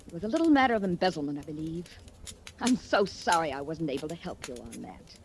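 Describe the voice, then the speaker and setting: A woman's voice: sits low, very animated, fast talker. An actress playing a haughty, scheming, icily polite married woman in a 1940s American radio drama. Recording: noisy old 1940s radio recording.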